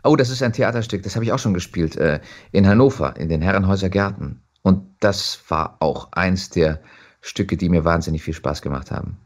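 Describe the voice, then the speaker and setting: A man chatting casually as an actor being interviewed, telling stories in an animated way. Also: sits low, a little fast.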